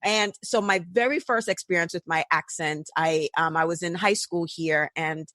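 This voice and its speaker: A woman's voice: lowish voice, normal speed, a bit bright, no room sound. Chatty Jamaican woman host, dry sound. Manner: chatty, storytelling, many fillers. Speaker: podcast host. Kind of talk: conversational interview.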